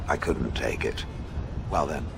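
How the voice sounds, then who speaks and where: A man's voice: a bass voice, unhurried, heavily acted. An actor, a man, playing a haughty stone golem in a fantasy game, dryly sardonic with grudging affection. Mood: wry, grudgingly fond. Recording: clear background ambience noise.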